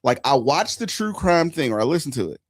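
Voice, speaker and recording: Host, man; casual and emphatic, lively pitch, dry room. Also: brisk, no room sound. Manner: animated storytelling, emphatic asides. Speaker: podcast host. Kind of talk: casual live chat.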